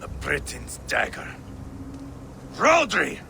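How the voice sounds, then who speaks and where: A man playing a fierce, vengeful Viking warlord with a gravelly voice and an intense manner, in a video game. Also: a little high, wildly swooping pitch, slow pace, background noise, full character voice. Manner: intense, swinging from hushed to roaring. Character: fierce, vengeful male Viking warlord, hot-tempered and grieving.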